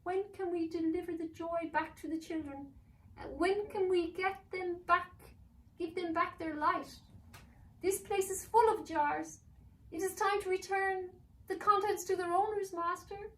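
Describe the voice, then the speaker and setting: A woman's voice: very high voice, steady tone, measured pace, voice pushed up. A woman voicing a cowering, tormented male elf who pleads hesitantly with his master in a storybook reading. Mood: pleading. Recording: roomy, distant mic with faint noise.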